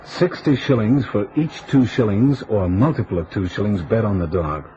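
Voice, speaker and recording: A man acts out a confident, easygoing private detective from an old radio mystery. His voice sits fairly low and his talk is slangy and knowing. The recording is dulled, with clear hiss. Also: normal speed, dull audio, lightly acted. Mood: knowing, measured. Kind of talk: vintage radio detective drama acting.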